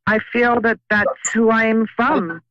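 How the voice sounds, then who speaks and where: A woman's voice: a little high, a little slow, no room sound. A woman calling in to a psychic show, speaking earnestly and hesitantly, often repeating words. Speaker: caller. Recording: dull dry phone-in line.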